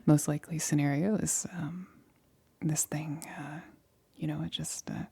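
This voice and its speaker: A woman performs an awkward, shaken female scientist for an ASMR audio drama. Her voice is extremely breathy and whispery, sitting low with little melody. She speaks haltingly, stammering and hesitating while trying to sound reassuring. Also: fairly even intonation, mellow, full character voice. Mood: nervous, reassuring. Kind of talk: ASMR roleplay, whispered acting.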